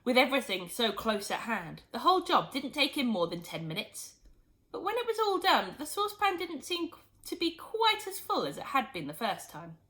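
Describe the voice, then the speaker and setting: A woman reading a children's storybook aloud in expressive, scripted narration. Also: high voice, moderate pace. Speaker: storybook reader.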